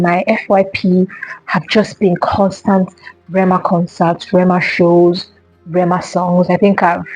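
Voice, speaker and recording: Husky young woman chatting to camera. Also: lowish voice, darkish tone, no room sound, poor audio. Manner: rambling, repeats herself, many fillers. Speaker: vlogger. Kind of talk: casual solo chat answering questions.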